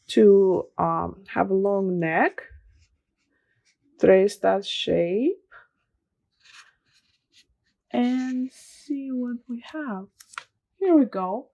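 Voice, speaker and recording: A woman reading aloud and informally teaching children, explaining things simply step by step. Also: mid-range voice. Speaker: children's story reader and drawing teacher. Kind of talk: read-aloud and informal craft lesson for children.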